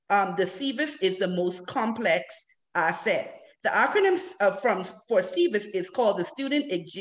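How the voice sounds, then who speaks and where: A young woman from the Bahamas, reading a prepared student presentation over a video call, earnest and steady with occasional slips. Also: medium pitch. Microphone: laptop webcam on a video call.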